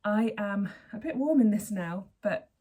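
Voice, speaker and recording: A woman in her forties with a hoarse voice, hosting her knitting podcast in a chatty, rambling way and often correcting herself mid-sentence. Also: medium pitch, expressive, a little slow, dark tone. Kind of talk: casual chat to camera.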